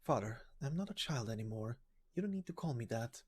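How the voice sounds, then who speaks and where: A man's voice: medium pitch, animated, a little fast, breathy edge. A man voicing an earnest, determined young female knight in a game story reading, restrained and serious. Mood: resolute. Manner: restrained, serious, plain-spoken.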